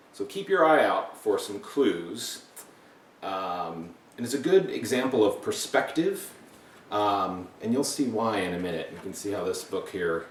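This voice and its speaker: A man reading a children's picture book aloud with asides, talking directly to young listeners in an animated way. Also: fairly low pitch, a little hiss or hum. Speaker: read-aloud storybook reader. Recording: echoey room, distant mic.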